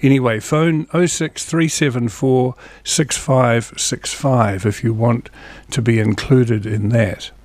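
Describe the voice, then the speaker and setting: A man's voice: medium pitch, creaky, darkish tone, dry recording. New Zealand man reading community listings. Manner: plain, friendly listing of dates and phone numbers with small asides. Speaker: community TV host. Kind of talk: reading local event listings, casual chat. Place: TV studio.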